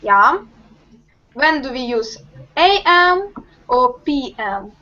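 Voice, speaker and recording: A young woman with a high voice teaches a group English class over a video call. She talks slowly and patiently, asking questions one by one. The webcam sound is muffled. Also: very animated, pushed and bright. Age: young adult. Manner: slow, patient, encouraging questions. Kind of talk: online group language lesson. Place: home room with bookshelves.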